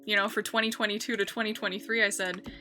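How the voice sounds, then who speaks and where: A woman's voice: medium pitch, flat delivery, fast talker, pushed and bright, faint music behind. A young woman streamer chatting casually while building in a game, rambling and correcting herself with lots of fillers.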